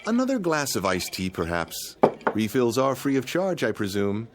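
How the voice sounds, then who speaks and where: A man's voice: medium pitch, wildly swooping pitch, normal speed, very noisy. An actor playing a pompous, penny-pinching man with overly polite, formal speech in a radio drama. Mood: polite, smug. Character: fussy, pompous, penny-pinching male freeloader.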